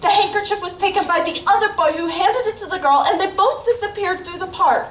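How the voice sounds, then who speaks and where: A woman's voice: very high voice, a little fast, fairly bright tone. A woman playing a kindly, earnest witness in a radio play on stage. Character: kindly, indignant female street witness. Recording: echoey stage from far off, faint noise.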